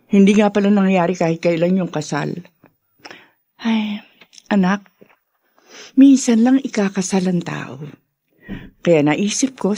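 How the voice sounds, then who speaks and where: An actress playing a doting, brash middle-aged Filipino mother in a radio drama, expressive and teasing. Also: medium pitch, breathy edge, no echo.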